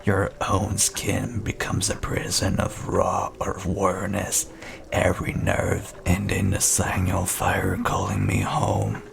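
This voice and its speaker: Casting: sinister possessive night entity, acted by a man, slow menacing whisper with heavy gravelly creak, for dark horror audio roleplay. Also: low voice, background noise, heavily acted. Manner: slow, menacing, intimately taunting.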